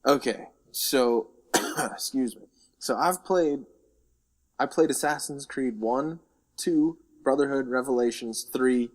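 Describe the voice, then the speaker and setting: A man's voice: mid-range voice, slow pace. A man co-hosting a casual podcast, jokey and given to repeating words for emphasis. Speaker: podcast co-host. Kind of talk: casual banter about games and films.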